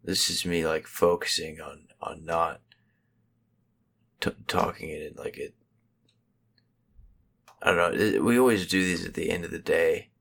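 A young man speaks in a low, raspy, gravelly voice. He keeps it hushed and subdued, drawling slowly with a bright, breathy edge. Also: fairly even intonation. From Texas. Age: 20s. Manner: hushed, subdued tired delivery. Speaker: podcast co-host. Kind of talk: casual chat.

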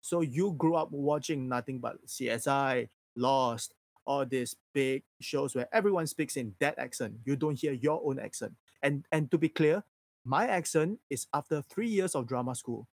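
A man from Singapore, a native Mandarin speaker with a Singaporean accent, chatting casually and candidly on a livestream in an animated way. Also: mid-range voice, moderate pace.

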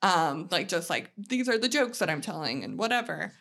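A woman's voice: on the low side, big pitch swings, normal speed, vocal fry, a bit bright. A woman in her thirties chatting candidly and casually as a comedian guest on a podcast, often saying "like". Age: 30s.

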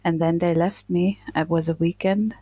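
A woman's voice: fairly low pitch, fairly even intonation, normal speed, dark tone, slightly rough, no echo. A middle-aged woman from eastern Germany with a German accent, telling her own story casually as an interview guest.

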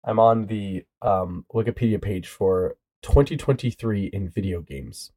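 A man's voice: on the low side, husky. A young man with a stuffy nose from a cold, hosting a casual podcast chat with friends and joking around. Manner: joking, rambling, animated. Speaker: podcast host.

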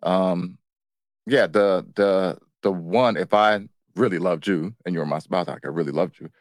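A man's voice: sits low, unhurried, no room sound, studio quality. A man co-hosting a casual, unscripted relationship chat, talking in a colloquial, matter-of-fact way. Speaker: co-host of a couples' relationship show.

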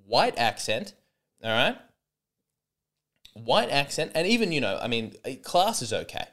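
A man in early adulthood riffs through a solo comedy podcast, his pitch leaping up and down as he talks. He rambles and restarts his sentences often, with a dry, sarcastic edge. His voice sits at an ordinary middle pitch for a man. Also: big pitch swings, measured pace, a bit bright. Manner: rambling, sarcastic, many false starts.

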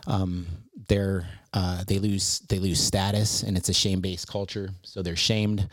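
Low-voiced rambling missionary man. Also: level tone, a little slow, no echo, clean studio sound. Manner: rambling, restarts often, laughs easily. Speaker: missionary guest. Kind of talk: casual podcast chat. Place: lives in Thailand eight years.

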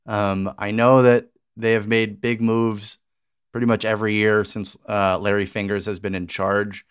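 A man's voice: on the low side, level tone, a little slow, smooth. A man co-hosting a sports podcast in casual, conversational chat. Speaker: podcast co-host.

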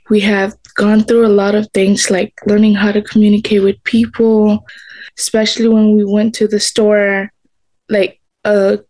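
A woman's voice: mid-range voice, mellow, rough recording. Earnest young woman from Myanmar. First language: not English. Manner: simple, earnest sentences with small grammar slips. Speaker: teacher and former student.

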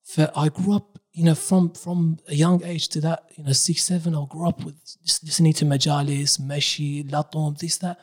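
A young man born in Iraq, a religious reciter, telling his life story in a relaxed, casual podcast chat, dropping Arabic phrases as he talks. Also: fairly high pitch, moderate pace, hardly any pauses. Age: young adult.

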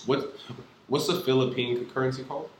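A man's voice: medium pitch, fairly even intonation, fairly quick. A man hosting a casual podcast conversation, talking in slang and often repeating words as he goes. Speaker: podcast host. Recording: echoey room with faint background noise.

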